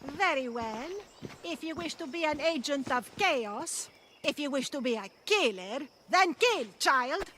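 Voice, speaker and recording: Haughty, bright-voiced female cult priestess. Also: high-pitched, expressive, a little slow, a little hiss or hum. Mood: taunting. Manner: taunting, haughty. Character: fanatical woman cult priestess, menacing and self-righteous villain. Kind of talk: video game cutscene acting.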